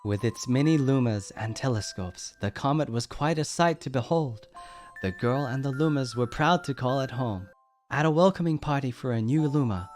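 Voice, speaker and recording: A man reading a storybook aloud as a bedtime story, gentle and unhurried. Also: medium pitch. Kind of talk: storybook narration. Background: background music.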